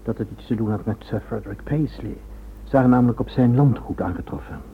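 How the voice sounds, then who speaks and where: A man's voice: medium pitch, quick pace, natural voice. An actor in a Dutch radio drama playing a dutiful, deferential junior policeman. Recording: noisy, tinny old radio recording with hum.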